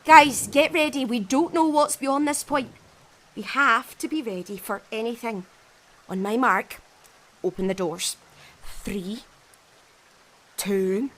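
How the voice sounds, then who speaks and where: A woman's voice: a little high, takes it slowly, pauses often, fairly bright tone. An actress playing a bossy, easily exasperated female leader of survivors in an audio drama. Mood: commanding, tense. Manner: commanding, exasperated.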